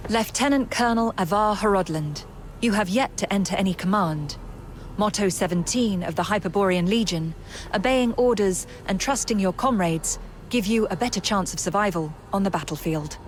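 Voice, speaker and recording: An actress playing a brisk, dutiful military subordinate who speaks formally and by the book in a game cutscene. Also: female voice, mid-range voice, slight background noise, close to an everyday voice.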